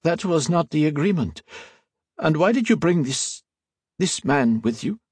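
A man's voice: fairly high pitch, sing-song, a little slow, a little mellow, husky, no room sound, studio quality, voice pushed up, full character voice. A man voicing an anxious male priest archivist with a nasal tone and a light French accent. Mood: alarmed. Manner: pleading, nervous.

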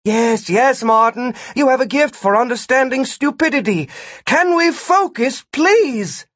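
A man voicing an exasperated, sarcastic adventurer woman in a storybook, with brisk retorts. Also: sits high, breathy edge, no echo, studio quality, pitched above the performer's own voice.

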